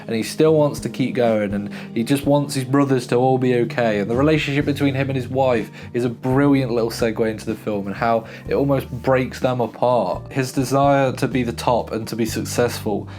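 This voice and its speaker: A man's voice: medium pitch, a little fast, hardly any pauses, a little mellow, soft background music. A man hosting a casual film review, talking emphatically with frequent restarts while recovering from a sore throat.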